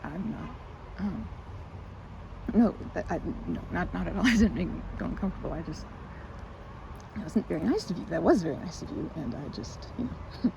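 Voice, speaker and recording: A woman's voice: fairly low pitch, expressive, moderate pace, creaky, long pauses. A woman playing a timid, sweet, awkward young woman in an ASMR roleplay, stammering and hesitant, with a giggly, flustered manner. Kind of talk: ASMR roleplay, improvised-sounding one-sided conversation. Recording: muffled with loud outdoor ambience noise.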